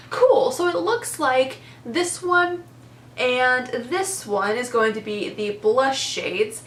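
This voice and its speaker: A young woman with a congested voice chats eagerly through a makeup review, piling on "super" and "very." Her voice sits a little high. The room has a noticeable echo. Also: fairly bright tone, slight background noise. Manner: chatty, enthusiastic, lots of "super" and "very". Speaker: beauty vlogger. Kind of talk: casual product review chat.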